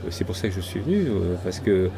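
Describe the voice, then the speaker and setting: A man's voice: sits low, sing-song, brisk, gravelly. Hesitant middle-aged man in a crowd. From southwest France. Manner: hesitant, many fillers and restarts. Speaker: interview guest. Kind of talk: casual interview, rambling. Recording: noisy crowd around.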